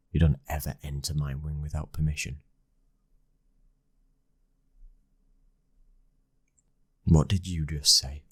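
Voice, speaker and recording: Man acting a British mafia son, extremely low voice, even intonation, husky, commanding yet loving husband, intimate ASMR roleplay. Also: full character voice. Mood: commanding, angry.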